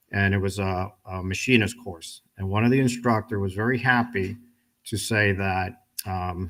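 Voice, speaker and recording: Bright-voiced man in his fifties from the Dominican Republic. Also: on the low side. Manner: animated, joking, asks the audience questions. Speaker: guest speaker at a graduation ceremony. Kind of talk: motivational speech with personal stories. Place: event hall with a live audience.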